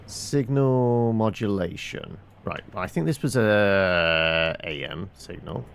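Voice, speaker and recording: Slow, gravelly man thinking aloud. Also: fairly low pitch, slight background noise. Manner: thinking aloud, muttering and hesitant. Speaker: game streamer. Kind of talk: casual live gameplay commentary.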